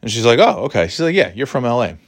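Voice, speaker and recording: A man's voice: medium pitch, very animated, fast. A middle-aged man from New York hosting a solo podcast, talking casually in a rambling, wry way with many restarts. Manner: rambling, self-interrupting, wry. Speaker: podcast host.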